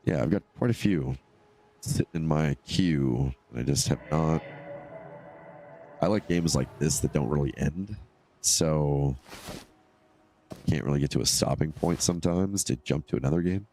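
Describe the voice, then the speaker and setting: A man with a stuffed-up, nasal voice giving casual, low-key, rambling solo commentary as he live streams a game. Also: measured pace, long pauses, warm tone, slight background noise. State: congested from allergies.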